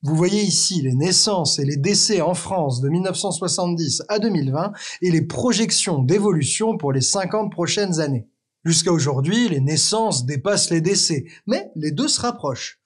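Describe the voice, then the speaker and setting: A man hosting an educational video, narrating a script in a clear, teacherly way with the odd wry aside to the viewer. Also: fairly high pitch, animated, normal speed. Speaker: explainer video host.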